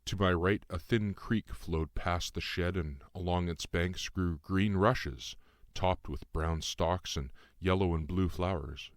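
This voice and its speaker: A man reading his own novel as measured, literary first-person audiobook narration. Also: deep voice, steady tone, dry recording, clean studio sound.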